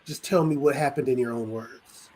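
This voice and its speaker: A man's voice: medium pitch, normal speed, darkish tone. A man co-hosting a podcast, a teacher, talking animatedly and laughing as he tells stories. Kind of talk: casual podcast chat.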